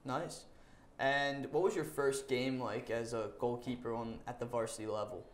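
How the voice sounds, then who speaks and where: A man's voice: mid-range voice, steady tone, normal speed, reverberant. A young man hosting a student sports interview show, asking friendly, brief questions. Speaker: host.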